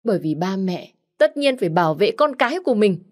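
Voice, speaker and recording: A woman voicing a jealous young wife who pleads and accuses in a shrill, raised voice. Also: sing-song, a little fast, darkish tone, natural voice. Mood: shrill accusing.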